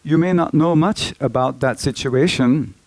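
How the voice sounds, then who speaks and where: A man giving an earnest, deliberate spoken introduction. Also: mid-range voice, a little mellow, no room sound.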